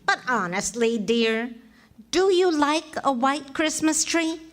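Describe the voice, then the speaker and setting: Patient, gently sarcastic radio-comedy wife played by a woman, higher-pitched, lively intonation, slow measured teasing. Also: exaggerated voice. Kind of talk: 1940s radio comedy acting.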